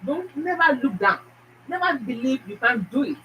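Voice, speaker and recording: Emphatic Nigerian woman, higher voice. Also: expressive, bright, forceful tone, far from the mic, a little hiss or hum, low-quality recording. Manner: emphatic, repeats phrases, fast-talking. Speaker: YouTube channel host. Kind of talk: casual motivational talk to viewers.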